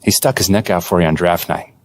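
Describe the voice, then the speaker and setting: A man playing an earnest, data-minded basketball executive in a video game, speaking in a measured corporate way. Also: low-pitched. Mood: serious. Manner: measured, businesslike, corporate jargon. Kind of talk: conversational video game cutscene acting.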